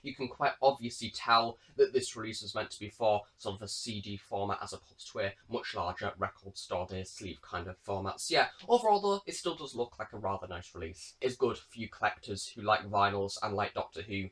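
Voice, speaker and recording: A man's voice: fairly low pitch, lively intonation, brisk, hardly any pauses, a bit bright. A British man giving a casual, chatty product review in a rambling way. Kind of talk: casual unboxing review talk.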